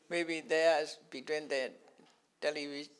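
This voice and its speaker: An older man who is not a native English speaker teaches scriptural terms to a class in a halting way, repeating words and chuckling often. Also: fairly high pitch, steady tone, clean tone. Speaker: Buddhist teacher.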